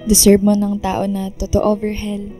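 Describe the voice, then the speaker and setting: A woman's voice: medium pitch, completely flat delivery, unhurried, warm tone, faint music behind, lightly acted. A woman playing a remorseful, self-sacrificing young woman, apologetic and earnest, in radio drama dialogue. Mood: tender resignation.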